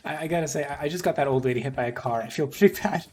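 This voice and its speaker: A man's voice: a little high, very fast, darkish tone. A man with a Canadian accent hosting a casual livestream interview in a chatty, reassuring manner. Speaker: host interviewing game developers.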